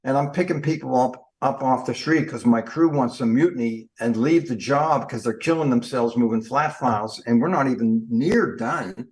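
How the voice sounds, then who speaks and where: An older man with an ordinary mid-range voice tells stories as an interview guest. His delivery is lively and emphatic as he drives his points home. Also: mid-range voice, normal speed, hardly any pauses, low-quality recording. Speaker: guest, industry trainer. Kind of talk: storytelling in an interview.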